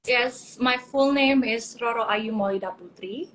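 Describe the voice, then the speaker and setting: A woman in her twenties from Indonesia, speaking English as a second language in a bubbly, excitable live video chat. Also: fairly high pitch, moderate pace, a little hiss or hum, low-quality recording. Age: 20s. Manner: bubbly, exclaiming, laughs often.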